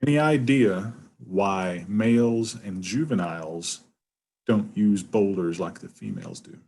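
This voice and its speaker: A man's voice: on the low side, unhurried, darkish tone. A man moderating an online conference session, introducing speakers and relaying questions.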